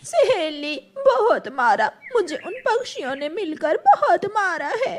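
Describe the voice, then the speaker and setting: A woman's voice: extremely high pitch, sing-song, a little slow, slight background noise, exaggerated voice. A woman voicing a quarrelsome, sly female crow in a children's cartoon, defiant and given to whining. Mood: tearful complaining. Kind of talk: children's cartoon story dub.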